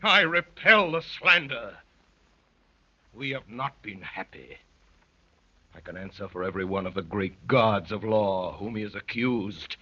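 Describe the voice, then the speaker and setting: An actor playing an earnest, haunted poet recounting a vision, husky, dramatic and literary, in 1930s radio drama style. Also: male voice, fairly low pitch, animated, unhurried, bright, forceful tone, full character voice. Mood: impassioned, exalted. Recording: muffled, lo-fi 1930s radio recording.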